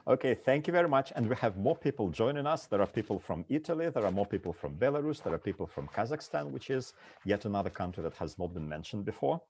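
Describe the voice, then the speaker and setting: A man's voice: on the low side, very animated, fast, darkish tone. A man moderating an online panel discussion over a video call, reading out lists of places with frequent "uh" fillers.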